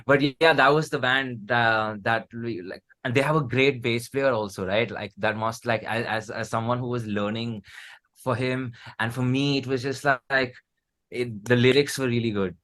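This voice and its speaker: A man with an Indian accent and a bright, forward voice of middling pitch. He talks casually and candidly as a musician guest, often repeating himself. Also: moderate pace. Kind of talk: casual chat.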